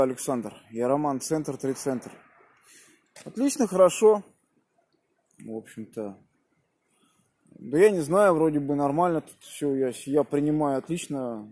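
Man on amateur radio, slightly husky voice. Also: medium pitch, mellow. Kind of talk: radio contact chat with spelled-out call signs. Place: outdoors in a snowy park at evening. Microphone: phone camera at arm's length.

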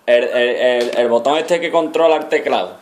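A man with a Spain Spanish accent making a casual prank call in a deadpan, rambling way. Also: mid-range voice, normal speed. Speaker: prank caller. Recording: noisy homemade recording with clicks.

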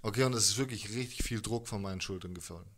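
A man in his thirties with a fairly low voice gives a casual interview as a musician. He feels his way through sentences hesitantly, in informal, slangy speech, close on a handheld mic. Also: quick pace, a bit bright, a little hiss or hum. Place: living room with instruments, indoors. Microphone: handheld microphone held close.

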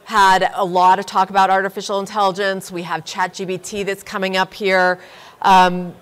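A woman guides a conference interview with relaxed, conversational questions. Her voice sits at a middle pitch, and she talks plainly and informally. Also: clean tone. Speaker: conference moderator.

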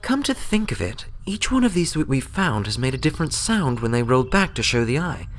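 Man putting on an eager young pony scholar, high-pitched. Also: very animated, fairly quick, natural voice. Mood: puzzled. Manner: eager, earnest, thinking aloud. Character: bright, excitable young female pony scholar. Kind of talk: storybook character voice.

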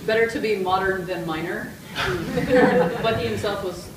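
A woman's voice: medium pitch, measured pace. A woman in her thirties answering audience questions informally at a talk. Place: hotel conference room. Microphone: camera at a distance. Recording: very echoey room, distant, noisy.

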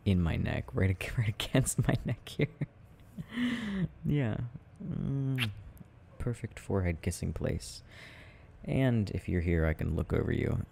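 A low, mellow, creaky male voice acted by a man as a tender boyfriend soothing his partner at night. He murmurs slowly and affectionately, rambling in soft ASMR roleplay style with gentle teasing. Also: expressive, a little hiss or hum, heavily acted. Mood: cozy, affectionate.

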